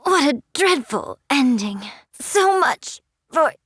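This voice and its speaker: A woman's voice: high voice, sing-song, slow, a bit bright, no room sound, exaggerated voice. A woman voicing a haughty, playful game heroine with a theatrical, sweeping delivery. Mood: disdainful. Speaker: game character voice.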